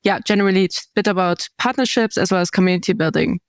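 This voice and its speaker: A woman's voice: lowish voice, fast, no echo, studio quality. A woman speaking as a podcast guest, explaining her community and searching for words as she goes. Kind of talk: conversational podcast interview.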